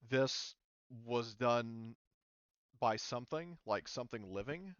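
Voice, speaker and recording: A man narrating a tabletop game as game master, speaking casually and haltingly with the odd swear word. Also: medium pitch, animated, fairly bright tone, clear, resonant tone, dry recording, highs cut off. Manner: halting, casual, mildly profane.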